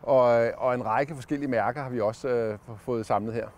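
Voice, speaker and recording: Man, 40s, mid-pitched, halting casual review, outdoors on a lawn, clip-on mic. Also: fairly even intonation, a little mellow, slight background noise. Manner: hesitant, many fillers and restarts. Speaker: product tester. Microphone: lapel mic.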